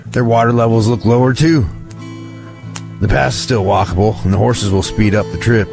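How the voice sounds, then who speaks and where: Music plays behind a rugged scout played by a man in an audio drama. His voice is low with a gravelly fry, and he tells things steadily and plainly. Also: steady tone, normal speed, darkish tone. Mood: weary, resolute.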